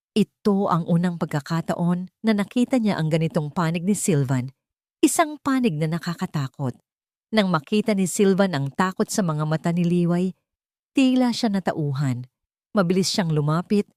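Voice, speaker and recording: A Filipino woman delivers dramatic scripted story narration. Her voice sits on the low side, and the recording is dry and close, with little room sound. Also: darkish tone, dry recording.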